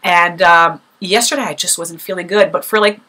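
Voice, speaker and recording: A woman's voice: fairly low pitch, fairly even intonation, normal speed, bright, forceful tone. A young woman vlogger with a cold, sniffling a little, talking casually and thoughtfully to camera with many fillers.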